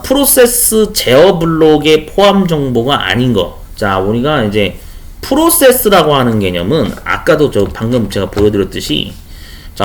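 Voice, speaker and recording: Man, exam tutor, casual screen-share lecture, lively up-and-down pitch, rhetorical asides. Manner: chatty, frequent rhetorical "어?" checks and asides. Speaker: exam prep instructor.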